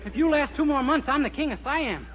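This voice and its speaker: A man's voice: extremely high pitch, expressive, a little fast. An actor playing a naive, eager and tactless young American insurance salesman in a 1940s radio sitcom, guileless and blundering in his talk. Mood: blithely tactless. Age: young adult. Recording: noisy, dull old 1940s radio recording.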